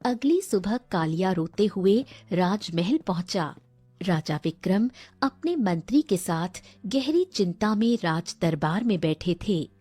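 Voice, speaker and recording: Slow, husky woman narrator. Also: mid-range voice, a little mellow, no room sound. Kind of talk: scripted storytelling narration.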